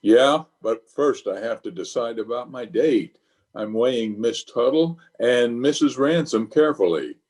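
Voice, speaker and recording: An actor playing a pompous, fussy middle-aged water commissioner who gets easily exasperated, in an old-time radio comedy style. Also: male voice, mid-range voice, lively intonation, unhurried, darkish tone, lightly acted. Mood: deliberating. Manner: self-important, exasperated.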